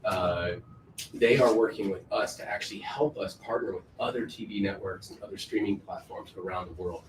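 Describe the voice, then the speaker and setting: Deep-voiced man, businesslike and measured. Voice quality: loud voice. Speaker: executive guest. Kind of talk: casual interview. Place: on a film set, outdoors. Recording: echoey, distant mic with clear background noise.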